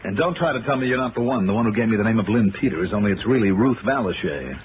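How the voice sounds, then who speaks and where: An American man playing a dogged, wisecracking insurance investigator in a 1960s radio detective drama, brisk and wry. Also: fairly low pitch, animated, phone-quality sound, noisy background, close to an everyday voice. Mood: insistent. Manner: brisk, wry, hard-boiled. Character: dogged, wisecracking male insurance investigator narrating his case. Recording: band-limited old radio recording with music.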